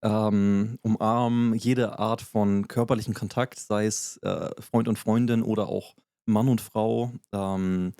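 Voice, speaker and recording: A man with a low, mellow voice talks through a topic at an easy conversational pace, hedging with frequent fillers and restarts. The recording is dry and close, with no room sound behind him. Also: steady tone, takes it slowly, creaky, hardly any pauses, smooth, no echo. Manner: explanatory, many fillers and restarts. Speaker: podcast co-host. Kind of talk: casual podcast chat.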